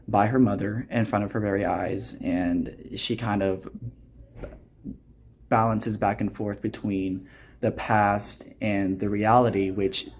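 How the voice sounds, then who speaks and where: A young man in his late teens with a fairly low voice speaks as an interview guest. He is earnest and reflective, explaining things conversationally and softening them with little hedges like "kind of" and "you know". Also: steady tone, slow, slight background noise. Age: teens, high school senior. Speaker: student actor interviewed as a guest.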